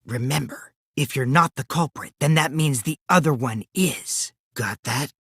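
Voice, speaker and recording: A man's voice: mid-range voice, measured pace, fairly bright tone, husky, dry recording, studio quality. An actor voicing a mischievous, taunting teenage boy who lies for fun in a video game, theatrical and teasing, swinging from sly to gleeful. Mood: smug, calculating. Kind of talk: animated video game voice acting.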